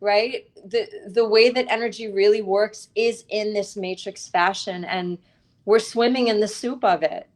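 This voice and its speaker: A woman being interviewed as an author, talking at a middle pitch. She explains her ideas earnestly and reflectively, like a teacher of spiritual practice, and punctuates them with small tag questions. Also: fairly even intonation, a little slow. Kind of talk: conversational interview.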